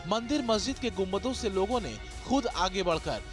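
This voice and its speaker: A man's voice: high voice, expressive, moderate pace. A man delivering dramatic scripted television news narration in an emphatic, rhetorical manner. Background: background music.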